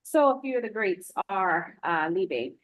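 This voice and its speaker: A woman's voice: mid-range voice, lively intonation, normal speed, no echo, highs cut off. A woman from the United States, a police lieutenant, giving an informal spoken report at a public meeting.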